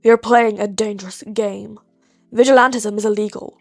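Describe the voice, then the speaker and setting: A woman voicing a weary, terse adult male underground hero with a rough voice in an audio story. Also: mid-range voice, normal speed, no echo, studio quality, soft background music, voice pushed down, natural voice. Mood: stern. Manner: terse, stern, flat.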